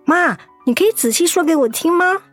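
A cheerful teenage girl in a radio play, high and bouncy, her pitch leaping around as she pesters for the story. Soft music plays underneath. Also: expressive, brisk. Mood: curious. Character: curious cheerful teenage girl, eager and chatty. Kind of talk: radio drama acting.

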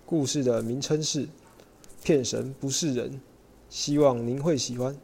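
A man's voice: mid-range voice, steady tone, measured pace, vocal fry, a little mellow. A young man giving scripted narration as the storyteller of an amateur radio drama. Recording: music under the voice.

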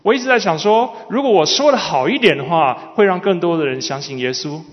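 A man with a high-pitched, very animated voice interprets a sermon phrase by phrase. He is recorded with long hall reverb that carries each line. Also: brisk. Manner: follows the preacher phrase by phrase. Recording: dull, reverberant large hall sound.

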